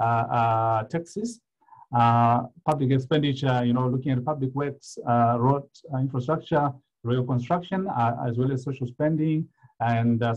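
A man from Zimbabwe presents his research over a video call at a mid pitch, hesitating often and filling gaps with "uh". The audio is dull and lo-fi, typical of call compression. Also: darkish tone. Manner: hesitant, many "uh" fillers. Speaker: guest speaker, economic historian. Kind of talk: academic online presentation.

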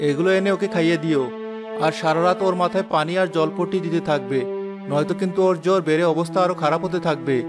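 A man's voice: on the high side, steady tone, quick pace, over music, lightly acted. A man playing a serious village doctor in a cartoon, instructive and matter-of-fact. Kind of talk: Bengali cartoon voice acting.

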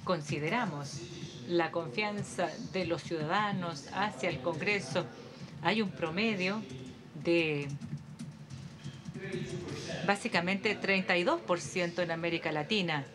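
A woman giving a simultaneous interpretation of a formal conference speech in a steady, measured delivery with occasional hesitations. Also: lowish voice, crowd noise, low-quality recording. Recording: audience murmur beneath the voice.

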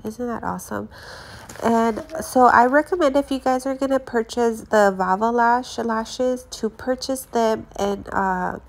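Congested young woman, gravelly and chatty. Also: on the high side, unhurried. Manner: chatty, relaxed, with many fillers and restarts. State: congested from allergies. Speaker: lash technician reviewing products. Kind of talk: casual product review vlog.

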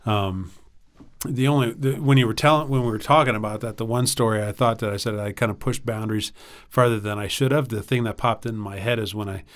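An American man in his forties telling anecdotes casually as a podcast guest, halting and self-deprecating, on a studio mic. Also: on the low side, fairly even intonation. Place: small acoustically treated podcast studio. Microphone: studio microphone on boom arm.

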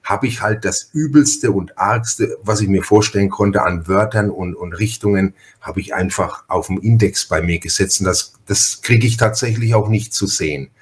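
Male podcast host, lowish voice, chatty and halting, conversational delivery. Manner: talkative, halting, repeats words.

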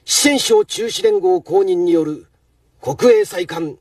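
An actor playing an arrogant middle-aged villain chef in an anime, sneering and haughtily formal. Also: male voice, sits high, huge pitch swings, slow pace, dry recording, full character voice. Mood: indignant, challenging. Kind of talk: anime acting. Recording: distant sound under loud music.

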